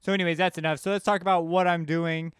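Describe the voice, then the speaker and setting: A man's voice: fairly high pitch, flat delivery, fast, a bit bright, clear, resonant tone, no room sound, studio quality. A man giving a casual, informal tutorial, explaining things as he thinks aloud. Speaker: music production tutorial instructor. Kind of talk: casual explanatory screencast narration.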